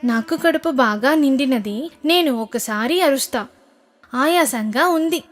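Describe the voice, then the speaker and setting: A woman's voice: high-pitched, lively intonation, a little slow. A woman voicing a sly, lazy fox with a whiny, weary manner for a children's cartoon story. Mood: stubborn. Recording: music behind the voice.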